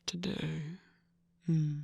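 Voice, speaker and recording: A performer of unclear sex voicing a seductive villain lover in an intimate roleplay ASMR, teasing and protective, with a husky, breathy, often whispered voice. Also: mid-range voice, level tone, extremely slow, darkish tone, heavily acted. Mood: playfully teasing.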